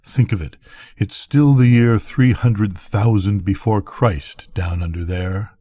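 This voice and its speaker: A man reading as slow, thoughtful lighthouse keeper. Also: lowish voice, mellow, no echo, studio quality. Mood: solemn, awed. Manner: thoughtful, folksy. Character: McDunn, musing lonely lighthouse keeper, mysterious and philosophical. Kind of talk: bedtime storybook reading.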